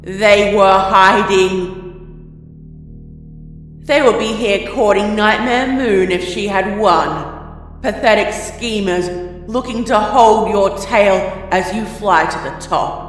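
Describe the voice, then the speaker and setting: Guy doing daybreaker, loud scornful fire spirit lady, slow, big echoey reverb. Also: sits high, pitched above the performer's own voice. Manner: loud, self-assured, contemptuous. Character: Daybreaker, fiery self-assured female sun spirit, commanding and scornful. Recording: echoey, long reverb, music behind.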